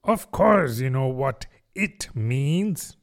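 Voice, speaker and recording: A man's voice: on the high side, expressive, a little slow, pitched above the performer's own voice. A man voicing a pompous, touchy, self-important mouse who lectures drily in a children's storybook. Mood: cross. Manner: pompous, declamatory.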